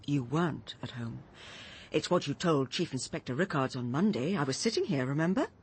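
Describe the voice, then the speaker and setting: A woman's voice: deep voice, sing-song, a little fast, a little hiss or hum. An actress playing a troubled, earnest woman who speaks hesitantly in a British radio drama. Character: troubled, earnest woman gently confronting her friend.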